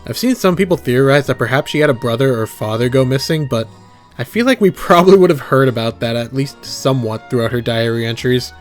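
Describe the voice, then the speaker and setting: A man's voice: medium pitch, animated, brisk. A man giving casual, wry, sweary commentary and reactions on a horror series. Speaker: video essayist and reactor. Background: background music.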